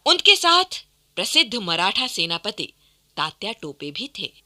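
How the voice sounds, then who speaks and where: A woman's voice: medium pitch, very animated, a little slow, noisy background. A woman narrating history from a script in a dramatic radio style.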